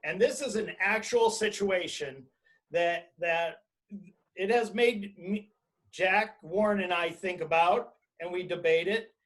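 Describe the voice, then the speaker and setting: A man giving an explanatory webinar presentation as an attorney, speaking deliberately and emphatically in short phrases over a video call. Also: high-pitched, fairly bright tone, rough recording. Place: indoors, on a video conference call.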